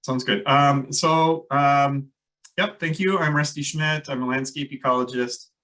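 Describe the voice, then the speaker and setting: Man with a Minnesota accent, bright voice. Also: mid-range voice, steady tone, measured pace, far from the mic. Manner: loose, hesitant, many fillers. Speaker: landscape ecologist presenter. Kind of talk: online workshop presentation. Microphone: video call.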